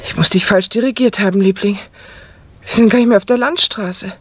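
An actress playing an uneasy, cautious wife in a 1960 German crime radio play. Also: female voice, mid-range voice, fairly quick, slightly rough, no echo, lightly acted. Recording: faintly noisy 1960 vinyl radio play.

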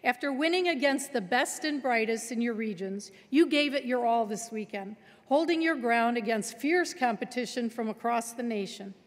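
High-voiced woman giving a keynote. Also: moderate pace. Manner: warm congratulatory, emphatic. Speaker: keynote speaker. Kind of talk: formal keynote address. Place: large auditorium stage at a lectern. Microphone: lectern microphone. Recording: long auditorium reverb.

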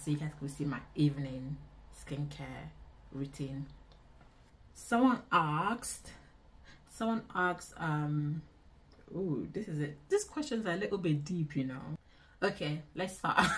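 A woman in her twenties with a Nigerian accent, chatting casually to camera in an indoor vlog, expressive and repeating phrases for emphasis. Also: sits low, a little slow, long pauses. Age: 20s. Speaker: vlogger. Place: small indoor room.

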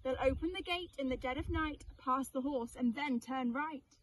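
A woman's voice: sits high, moderate pace, far from the mic, close to an everyday voice, poor audio. A woman voicing a tiny, clever female ladybird in a storybook, earnest and warning.

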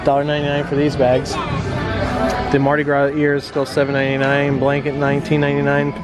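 A man's voice: mid-range voice, completely flat delivery, brisk, loud music behind the voice. A man in his forties with an American accent, chatting casually as a vlog co-host and reading out prices as he shops. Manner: chatty, reads out prices while shopping. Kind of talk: casual chat. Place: parked car at night.

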